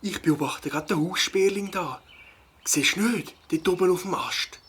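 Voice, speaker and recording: A man's voice: high voice, moderate pace, fairly bright tone, slightly rough, full character voice. A man playing a friendly, earnest ornithologist in a children's audio play, explaining patiently with a Swiss accent.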